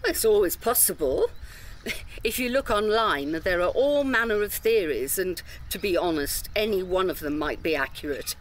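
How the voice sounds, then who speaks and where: A woman's voice: mid-range voice, expressive, moderate pace, slight background noise, natural voice. A woman playing a polite, patient tour guide giving instructions to day trippers in an audio drama. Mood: polite, reassuring. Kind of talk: audio drama acting, public briefing.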